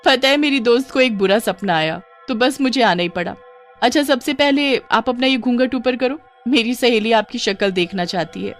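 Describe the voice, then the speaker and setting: A woman's voice: fairly high pitch, animated, normal speed, voice pushed up, natural voice. Woman playing sceptical young friend in a horror story, dismissive chatty tone, music under it.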